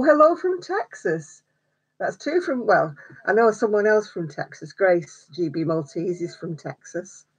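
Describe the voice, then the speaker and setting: Older woman, mid-pitched, friendly crafty chatter, lo-fi stream audio. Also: husky. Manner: chatty, greets viewers by name, laughs often. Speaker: crochet vlogger. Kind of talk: casual live-stream chat. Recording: slightly dull home stream audio.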